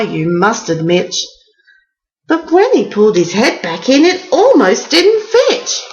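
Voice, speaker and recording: Slow-paced woman reading a bedtime story. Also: fairly high pitch, muffled recording. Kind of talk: bedtime picture-book reading in rhyming verse.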